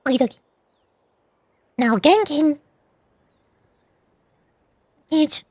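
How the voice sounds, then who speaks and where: High voiced girly vtuber woman, chatty, mutters to herself while gaming, dry room sound. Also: expressive, measured pace, darkish tone, no room sound. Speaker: VTuber streamer. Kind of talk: livestream chat while gaming, reading game dialogue aloud.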